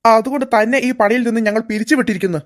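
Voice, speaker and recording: A woman doing a gruff, bossy male principal. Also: fairly high pitch, very fast, lightly acted. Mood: scolding. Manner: gruff, scolding, dismissive.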